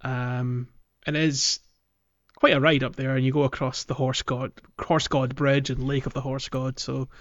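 Male podcast host, casual and rambling game chat, mid-pitched voice, slightly muffled lo-fi recording. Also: little pitch movement, moderate pace, fairly bright tone. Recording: dull, lo-fi audio.

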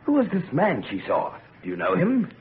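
A man's voice: a little high, wildly swooping pitch, normal speed. An actor in an old-time radio drama playing a worried, protective older father, speaking in an urgent, imploring, theatrical manner. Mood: urgent questioning. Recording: tinny, noisy vintage radio recording.

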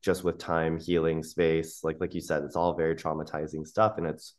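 A man's voice: very deep voice, flat delivery, moderate pace. A man hosting a podcast, chatting casually and relating to his guest. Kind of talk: casual interview chat.

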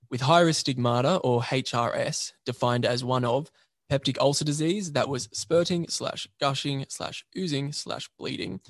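A man, an emergency registrar, presenting a journal paper by reading a prepared summary in a measured, methodical way. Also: medium pitch, dry recording, clean studio sound.

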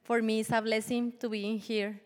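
A woman in her forties from Colombia, a native Spanish speaker with a Colombian accent, giving an earnest, measured church testimony with small restarts. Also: a little high, little pitch movement, darkish tone. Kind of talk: prepared personal testimony. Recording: long hall reverb.

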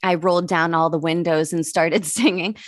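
An American woman of thirty-nine hosting a comedy podcast in casual chat, candid and casually profane, quick to laugh. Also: lowish voice, steady tone, no room sound, clean studio sound. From Pittsburgh, United States. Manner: laughs readily.

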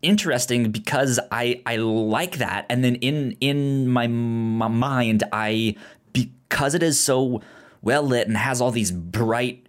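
Guy podcast cohost talking movies, kinda slow, keeps tripping over and repeating words. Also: medium pitch. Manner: halting, often repeats and stumbles over words. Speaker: podcast co-host. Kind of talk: casual film discussion.

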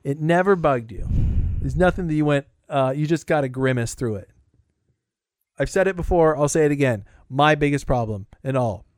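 A man co-hosting a casual chat about science-fiction shows, joking and animated, often restarting his sentences. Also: on the high side, normal speed, no room sound, clean studio sound.

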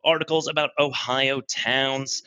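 A man's voice: mid-range voice, steady tone, unhurried, bright, forceful tone, highs cut off. A man putting on an upbeat, over-eager corporate trainer voice, delivering a podcast intro with exaggerated cheer. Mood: peppy, welcoming.

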